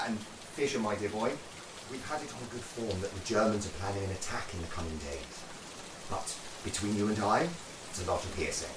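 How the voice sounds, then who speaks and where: An actor playing an avuncular upper-class army officer in a wartime radio play, with a hearty, old-fashioned way of talking. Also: male voice, low-pitched, lightly acted. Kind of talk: period war radio drama acting. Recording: echoey, distant, loud rain noise.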